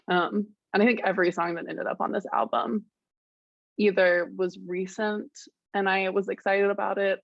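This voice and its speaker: A soft-spoken woman, a musician guest in a casual interview, talking hesitantly with many fillers and restarts. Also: fairly low pitch, vocal fry, dry recording, dull audio. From Richmond, Virginia, United States.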